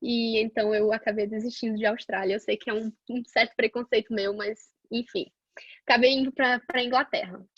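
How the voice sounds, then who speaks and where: A woman's voice: a little high, steady tone, brisk, fairly bright tone, breathy edge, no room sound, dull audio. A young woman from Portugal telling her own career story in a casual, chatty way, with many fillers and restarts. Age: young adult. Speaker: guest scientist sharing her career path.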